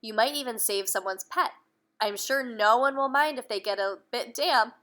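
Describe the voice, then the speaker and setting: A woman's voice: a little high, normal speed, sharp tone. A woman voicing a friendly male bear professor in a storybook, eager, encouraging and full of explanations.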